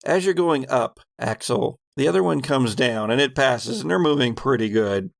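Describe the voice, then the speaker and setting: Gravelly man game-mastering a horror tabletop game. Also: mid-range voice, expressive, normal speed. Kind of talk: narrating a tabletop horror role-playing game.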